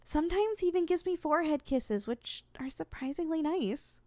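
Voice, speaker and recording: A woman playing an eager, sweet and easily flustered young succubus trainee in an ASMR roleplay, expressive and coaxing. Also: high voice, normal speed, no room sound. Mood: bashful, fond.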